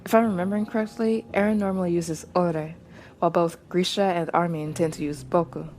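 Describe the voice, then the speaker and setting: A woman hosting a podcast, giving thoughtful solo commentary in a measured, reflective way with small digressions. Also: on the low side, darkish tone, a little hiss or hum. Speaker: podcast host reacting to anime.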